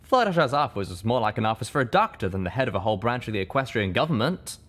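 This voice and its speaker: A man's voice: mid-range voice, very animated, fast talker, fairly bright tone. A man voicing an easygoing, chatty male pegasus pony with folksy talk in an audiobook story. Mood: amused musing.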